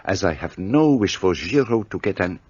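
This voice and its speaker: An actor playing a precise, courteous middle-aged detective in a radio play. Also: male voice, low voice, animated, normal speed, a little mellow, breathy edge, no echo. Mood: inquiring. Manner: courteous, precise, slightly formal foreign phrasing. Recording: dull lo-fi sound.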